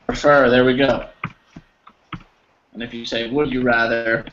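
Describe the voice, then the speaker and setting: A patient, encouraging man leads an online English lesson, walking through examples step by step. His pitch is mid-range and unremarkable. The call audio is a bit dull, with faint hiss behind him. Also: level tone, unhurried, a bit bright. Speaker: English teacher. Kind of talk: online class teaching. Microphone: video call.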